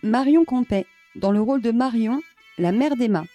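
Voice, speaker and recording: A woman's voice: a little high, normal speed. A woman announcer reading out scripted credits. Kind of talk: scripted read-out. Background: soft music.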